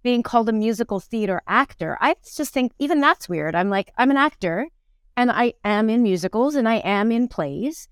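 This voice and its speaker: A woman aged fifty talking as an actor being interviewed, telling things in an animated, emphatic conversational way. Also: on the high side, normal speed, clean tone, dry recording, clean studio sound. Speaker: interview guest, stage actor in musicals and plays.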